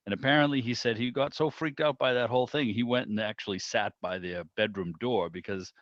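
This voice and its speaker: A man's voice: medium pitch, a little fast, fairly bright tone, no room sound. A middle-aged man from the Boston area reminiscing in a chatty, rambling way on a video call. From Boston area, United States. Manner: chatty, peppered with "you know". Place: online video meeting. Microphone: video call microphone. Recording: dull video call audio.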